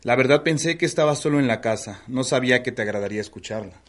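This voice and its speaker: A man's voice: medium pitch, quick pace, close to an everyday voice. A man acting a tender, hesitant middle-aged husband in mourning in a radio soap opera. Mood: tender, wistful. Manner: soft-hearted, hesitant, consoling. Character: gentle, apologetic grieving middle-aged husband.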